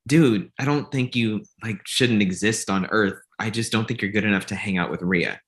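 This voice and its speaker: A man acts a coolly antagonistic friend in a comedy table read. The voice is low, and every line comes out blunt and condescending, in a naturalistic style. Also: moderate pace, fairly bright tone. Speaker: actor in a screenplay table read.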